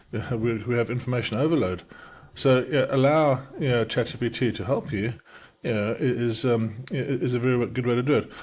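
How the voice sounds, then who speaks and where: Man, South African accent softened by UK years, middle-aged, fast restart-heavy talk, medium-pitched voice. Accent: South African, softened by decades in the UK. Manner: fast-talking, with many restarts and fillers. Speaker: entrepreneur demonstrating software. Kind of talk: casual screen-share tutorial. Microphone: webcam in a small circle over the screen recording.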